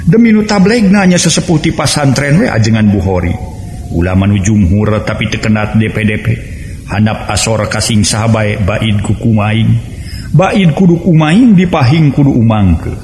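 A man's voice: fairly low pitch, darkish tone, a little hiss or hum. A man with a West Javanese accent narrating a serial folk tale in a dramatic storytelling style. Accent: Sundanese-language region of West Java, Indonesia. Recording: roomy echo with music underneath.

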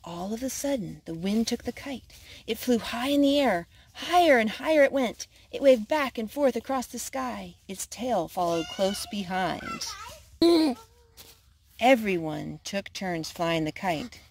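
A woman's voice: on the high side, a little slow, breathy edge. A woman reading a children's picture book aloud at storytime. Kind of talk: read-aloud storytime narration.

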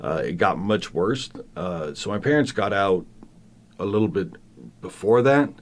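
A man in his fifties from Argentina, raised in Venezuela, with a Venezuelan Spanish accent, talking as a podcast guest in a thoughtful way, with many pauses and restarts. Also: low voice, expressive. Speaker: guest, professor. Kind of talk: casual podcast interview.

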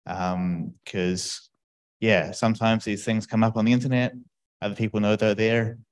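A man's voice: lowish voice, little pitch movement, moderate pace. A man speaking informally as a participant in a roundtable discussion. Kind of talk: informal self-introduction.

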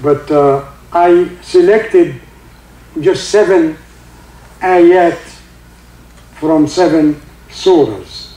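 An older man with a heavy Egyptian accent, a native Arabic speaker, giving an informal religious talk in halting English with many fillers and recited Arabic verses. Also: fairly high pitch, warm tone, reverberant, low-quality recording. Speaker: religious teacher. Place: indoor room with carpeted seating.

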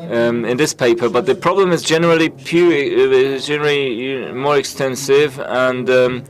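A man giving a simultaneous interpretation of conference talks, halting and full of filler sounds and restarts. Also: mid-range voice, rough recording.

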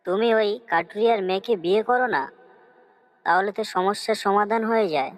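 A woman voicing a cunning fox in a cartoon story, coaxing and flattering as it schemes. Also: medium pitch, fairly quick, close to an everyday voice. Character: cunning fox, sweet-talking helpful schemer.

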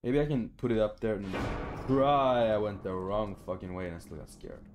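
A man's voice: on the low side, moderate pace, a little mellow, faint music behind. A young adult man giving casual, muttered video game commentary indoors, frustrated and swearing freely. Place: indoor room at home.

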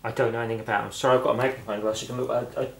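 A man's voice: medium pitch, little pitch movement, very fast, gravelly, noisy background. A man helping in a hobby repair video, speaking in casual, conversational chat. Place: indoors at a workbench.

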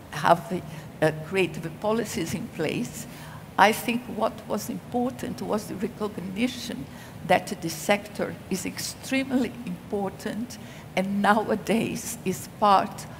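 An older woman speaks at an unhurried pace on a conference stage, leaning on her main points. Her headset mic catches the long reverb of a large hall, with a faint hum of ambience underneath. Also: mid-range voice. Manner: measured, deliberate, emphatic on key points. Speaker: panel speaker. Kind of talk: conference panel talk.

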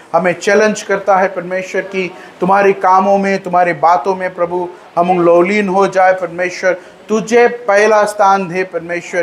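A man's voice: sits high, a little hiss or hum. A man preaching a sermon and leading prayer, exhorting with repeated refrains of praise and slipping English phrases into his speech. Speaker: preacher leading a church service.

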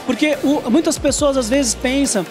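A man's voice: very high voice, normal speed. A Brazilian man in his thirties hosting a TV interview on a handheld microphone, talking in an informal, emphatic, conversational way. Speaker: TV show host. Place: trade fair stand indoors. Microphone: handheld reporter microphone. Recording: music behind the voice. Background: prominent music.

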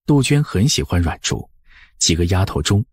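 A man reads scripted audiobook narration in a husky voice of medium pitch. His intonation moves widely, and the sound is dry and close. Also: big pitch swings, moderate pace, a little mellow, no room sound.

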